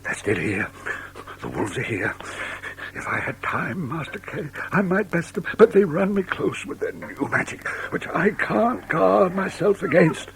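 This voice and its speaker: An actor playing a mysterious, courteous old Punch and Judy showman with halting, archaic, theatrical speech in an audio drama. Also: fairly high pitch, expressive, vocal fry, fairly bright tone, a little hiss or hum, full character voice, poor audio. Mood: urgent, fearful.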